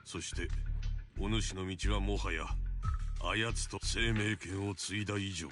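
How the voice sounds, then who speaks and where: A man's voice: deep voice, steady tone, brisk, close to an everyday voice. An actor playing a severe male elder master in an anime game, with archaic, condemning phrasing. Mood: stern, accusing. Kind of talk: Japanese game voice acting. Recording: game audio with music over it.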